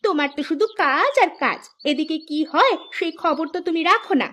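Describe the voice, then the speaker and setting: A woman playing a quarrelsome, sharp-tongued village wife in a cartoon, scolding and indignant. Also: very high voice, lively intonation, brisk. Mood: nagging. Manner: scolding, taunting, indignant delivery. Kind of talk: Bangla cartoon dialogue. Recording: music under the voice.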